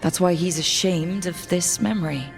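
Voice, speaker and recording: A woman's voice: on the low side, steady tone, moderate pace, vocal fry, faint music behind. A woman voicing a thoughtful, level-headed elven heroine in a fantasy video game, speaking sincerely. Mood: reflective.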